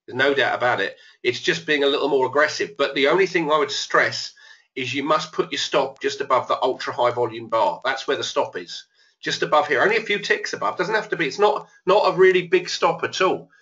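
A man hosts a live online trading session, thinking aloud as he works through his trades. His voice is a little hoarse but bright and forceful. The webinar audio sounds dull and lo-fi. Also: medium pitch, brisk. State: losing his voice. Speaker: trading educator. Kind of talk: live trading room commentary.

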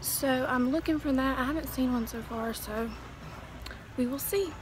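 A woman's voice: high voice, normal speed, rough recording. A young woman chatting to her phone camera while browsing a large discount store. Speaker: vlogger. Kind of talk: casual shop-with-me narration. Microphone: phone camera held at arm's length.

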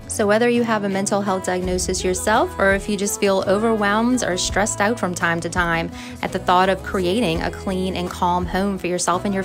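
A middle-aged American woman giving friendly, encouraging voiceover narration as a home and cleaning vlogger, in a confiding way. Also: medium pitch. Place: voice-over recorded in a closet at home. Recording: music playing under the voice. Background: background music.

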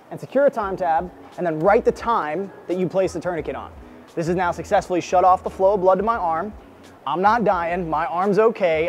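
A man in his thirties teaching strangers first aid in an indoor shopping mall, energetic and coaching, checking in with "right?" as he goes. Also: high voice. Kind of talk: street demonstration teaching.

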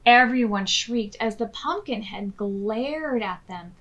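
An American woman in her thirties hosting storytime, reading aloud expressively and clearly to young children. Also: a little high, expressive, slow, a bit bright, highs cut off. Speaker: children's storytime host at a public library. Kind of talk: read-aloud storytelling for preschoolers. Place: small furnished room indoors.

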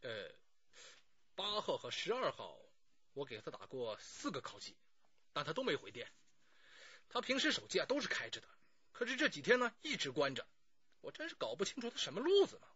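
A man's voice: a little high, huge pitch swings, brisk, long pauses, pushed and bright, breathy edge, no echo, muffled recording, full character voice. An actor playing a talkative, self-justifying middle-aged man under police questioning in a radio drama, pleading and sighing as he explains. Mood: explaining.

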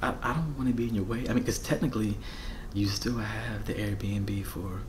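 An American man in his thirties doing a casual ASMR roleplay as a friendly, flustered rental host, soft-spoken, halting and apologetic. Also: on the low side, fairly even intonation, noisy background. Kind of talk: ASMR roleplay, casual one-sided conversation. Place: bedroom, indoors.

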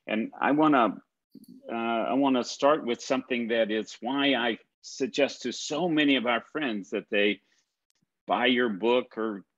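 An older man interviews a guest from an office and asks rambling questions. He talks slowly and haltingly. His call audio sounds a little dull. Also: medium pitch, a bit bright. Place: office with ceiling lights.

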